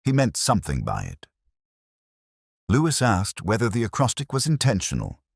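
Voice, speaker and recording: A man narrating a scripted first-person detective story in a measured, solemn, literary manner. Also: on the low side, wildly swooping pitch, vocal fry, breathy edge, dry recording, clean studio sound. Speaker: audio drama narrator.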